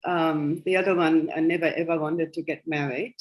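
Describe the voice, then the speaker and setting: A woman's voice: low-pitched, steady tone, normal speed, muffled recording. A German-accented woman in her sixties, a guest telling her life story in casual conversation and often laughing along the way. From West Germany. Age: 60s. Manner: chatty and self-amused, laughing often between thoughts.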